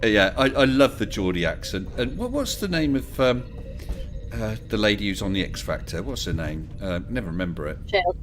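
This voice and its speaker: A man's voice: lowish voice, very animated, brisk, faint music behind. A man hosting a live call-in stream, chatting casually and joking a lot. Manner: jokey, chatty, laughs often. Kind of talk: casual chat.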